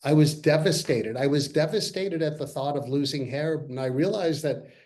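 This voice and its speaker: A man in his sixties from Canada, a company co-founder earnestly pitching to investors in a webinar over a video call. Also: moderate pace, darkish tone. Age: 60s. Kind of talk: webinar presentation. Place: parents' home, by video call.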